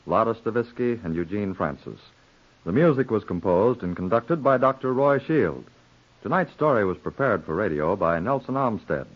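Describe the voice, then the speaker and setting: A man announcing in a formal, scripted 1940s American network radio style. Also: fairly low pitch. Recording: dull old 1940s radio broadcast.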